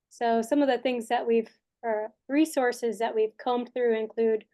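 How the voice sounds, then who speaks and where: A woman with a fairly high voice walks through project progress in a meeting, casual and loose, with plenty of fillers as she lists what her team has done. She talks over a video call. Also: darkish tone. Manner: casual, many fillers, listing items. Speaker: project consultant presenting progress. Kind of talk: meeting presentation.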